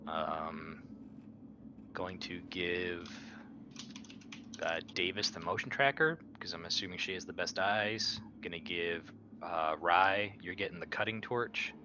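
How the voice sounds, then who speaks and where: Low-voiced man at a noisy game session. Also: slow, lo-fi sound. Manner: joking, sarcastic, swearing. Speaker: player as ship's captain. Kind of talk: casual tabletop roleplay chat. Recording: clear background noise.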